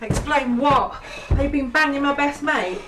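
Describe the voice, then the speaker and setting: Woman doing a bitter fiancée, shouting, high-ish voice, echoey room. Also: normal speed, noisy background, lightly acted. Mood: furious. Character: betrayed young fiancée, furious.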